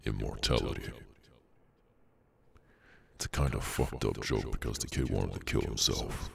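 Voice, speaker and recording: A man voicing a cursed, inhuman henchman in an ASMR roleplay, bored, sardonic and menacing, with an unhurried dry delivery. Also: distant mic, full character voice. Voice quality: very deep, gravelly. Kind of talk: ASMR audio roleplay, slow dramatic monologue.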